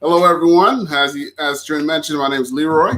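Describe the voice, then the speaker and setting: A man's voice: fairly high pitch, fairly quick, a bit bright, low-quality recording. A man in his thirties from Florida, a podcast guest talking in an easygoing, chatty way. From Florida, USA. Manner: easygoing, chatty, laughs easily. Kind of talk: casual conversation.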